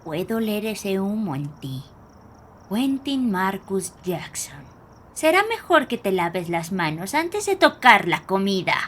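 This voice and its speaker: Woman acting as a stern grandmother, lively pitch. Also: takes it slowly, slight background noise. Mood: stern scolding. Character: stern elderly grandmother, guarded and foreboding storyteller. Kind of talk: dramatized horror audio fiction.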